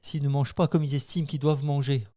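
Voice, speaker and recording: A man with a warm, mellow voice talks through his thoughts at length, stumbling a little as he builds his point. His delivery feels relaxed and conversational. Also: a little high, quick pace. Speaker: co-host. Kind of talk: casual chat.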